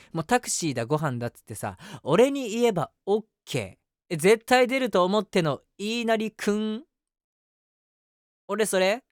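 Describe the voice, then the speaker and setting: A man's voice: sits high, clear, resonant tone, no room sound, studio quality. A man playing a lovestruck, self-deprecating young man who narrates his crush in a chatty, flustered way in a voice drama. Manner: flustered, animated, self-mocking, talking to himself. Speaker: voice actor. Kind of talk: first-person situation voice drama, chatty narration with acted reactions.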